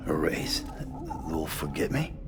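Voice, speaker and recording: A guarded, gruff loner from a video game. His voice is extremely low and full of gravel, and he mutters in few words. Loud music runs behind him. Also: big pitch swings, slow, whispered, full character voice. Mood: guarded, concerned. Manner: laconic, muttered. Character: terse, guarded, gruff male loner courier. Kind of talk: video game cutscene acting.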